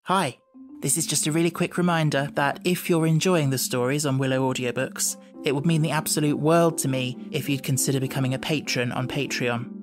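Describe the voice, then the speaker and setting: A man's voice: on the high side, fairly even intonation, fairly quick, faint music behind. A man who hosts an audiobook show, speaking in a casual scripted appeal to listeners. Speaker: audiobook narrator and channel host.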